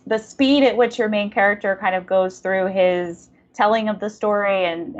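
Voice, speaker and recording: A woman's voice: medium pitch, dull audio. A woman interviewing in a casual literary conversation, speaking in an effusive, affirming way. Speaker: podcast interviewer.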